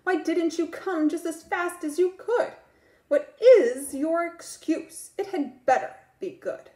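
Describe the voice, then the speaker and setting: A stern schoolteacher scolds a pupil in a slow, high voice, as a woman reading aloud puts her on. The sound is roomy and echoey. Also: lively intonation, warm tone, heavily acted. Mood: scolding. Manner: scolding, demanding. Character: stern strict female schoolteacher.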